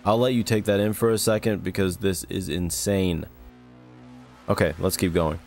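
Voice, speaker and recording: Low-pitched male video essay narrator. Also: steady tone, moderate pace, darkish tone, soft background music. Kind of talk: scripted narration analysing a horror series.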